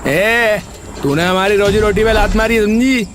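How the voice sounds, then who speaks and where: Guy doing a cartoon goon, menacing and hot-tempered, highish male voice over music. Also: unhurried. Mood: threatening. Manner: threatening, rough-spoken. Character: menacing village thug, bullying and hot-tempered.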